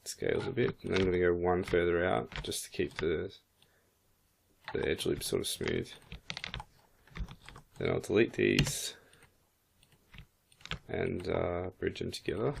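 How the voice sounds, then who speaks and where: A man with a croaky voice, casually thinking aloud as he walks through a 3D modeling software tutorial. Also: low voice, fairly even intonation, a little slow, pauses often, slight background noise. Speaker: 3D modeling instructor. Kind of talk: screen-recorded software tutorial.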